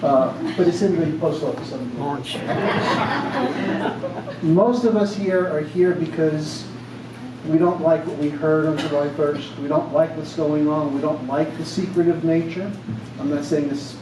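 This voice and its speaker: A man's voice: a little high, a little slow, distant mic. An older man from New York speaks up at a community meeting, plainspoken and insistent, and hammers home his points by repeating them. His slightly raised voice comes from across an echoey room, with a noticeable background hum. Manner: plainspoken, emphatic, repeats himself. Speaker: community member.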